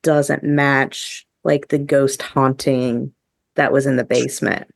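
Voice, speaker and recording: A woman co-hosting a podcast in a casual review chat, with halting, filler-heavy phrasing. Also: rumbling low, level tone, creaky, dry recording, studio quality. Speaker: podcast co-host.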